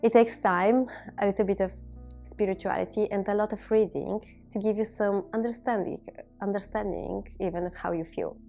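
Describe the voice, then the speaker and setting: A woman in her thirties from Bulgaria, a native Bulgarian speaker, giving a reflective personal monologue to viewers in measured, deliberate phrases. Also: mid-range voice, steady tone, gravelly, mellow, faint music behind. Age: 30s. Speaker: video blogger.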